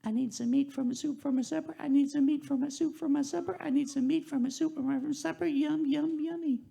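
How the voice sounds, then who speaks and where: A man voicing a frantic old woman who wants meat for her soup, chanting in a high singsong and shrieking when she gets loud. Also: steady tone, fairly quick, vocal fry, heavily acted.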